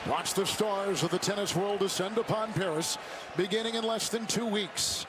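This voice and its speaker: A man's voice: sits high, normal speed. A man calling basketball play-by-play for a live broadcast. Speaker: play-by-play announcer. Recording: very noisy arena broadcast. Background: basketball arena noise, bouncing ball.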